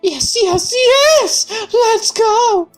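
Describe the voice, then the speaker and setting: A woman reading aloud as a spirited young female mechanic in a visual novel, talking in a high, exclaiming, indignant voice. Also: expressive, extremely slow, soft background music, exaggerated voice. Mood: eager.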